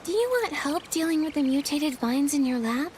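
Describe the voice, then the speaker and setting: A woman's voice: high voice, moderate pace, darkish tone, a little hiss or hum, lightly acted. A woman voicing a kind, earnest teenage girl heroine with an encouraging manner in a video game cutscene. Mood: concerned.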